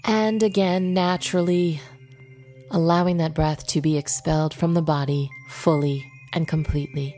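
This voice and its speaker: A woman leading a guided meditation in an unhurried way, pausing between short phrases. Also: deep voice, fairly even intonation, dark tone, faint music behind.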